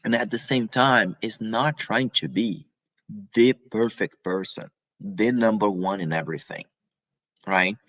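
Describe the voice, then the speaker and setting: Man giving a halting spiritual talk. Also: fairly low pitch, fairly bright tone, rough recording. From Brazil. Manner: halting, many restarts and tag questions. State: coughing now and then.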